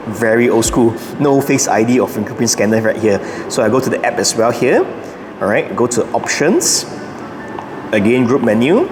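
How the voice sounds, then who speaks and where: A man hosts a casual, unscripted setup guide, talking himself through each step in short instructions. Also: mid-range voice. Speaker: host of a car channel giving a setup guide.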